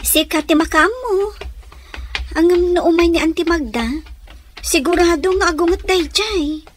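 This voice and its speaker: Modest young daughter, radio drama, high voice, earnest, sweet, slightly noisy track. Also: female voice, unhurried. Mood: shy, friendly. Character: modest, dutiful young daughter of a ranch owner. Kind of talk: Ilocano radio drama acting.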